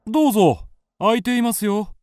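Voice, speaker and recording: A man playing a genial, relaxed writer in a radio drama, speaking softly and politely. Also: high-pitched, moderate pace, slight background noise, lightly acted. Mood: amused, welcoming.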